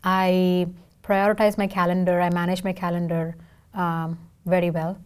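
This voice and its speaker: A woman's voice: lowish voice, little pitch movement, moderate pace, darkish tone, clear, resonant tone. A woman in her thirties from India with an Indian accent and Tamil as her first language, answering interview questions in a chatty, emphatic way, often checking in with "right?". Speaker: interview guest.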